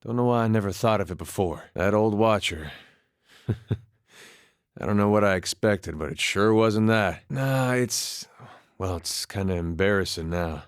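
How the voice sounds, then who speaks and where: A man's voice: lowish voice, a little slow, clean tone, no room sound, natural voice. A man acting a video game role, an easygoing, wry farmer and war veteran with a folksy, laconic way of talking. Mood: wry, resigned. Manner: folksy, laconic, dry-humored drawl with dropped words. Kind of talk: video game character dialogue, conversational storytelling.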